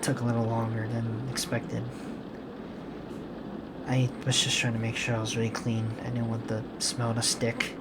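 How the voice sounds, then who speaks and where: A man playing a gentle, guilt-ridden serial killer boyfriend and father in an intimate ASMR roleplay, speaking softly and hesitantly, with apologies. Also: medium pitch, monotone, heavy background noise, natural voice. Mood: weary, grateful. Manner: hesitant, halting, apologetic, soft-spoken.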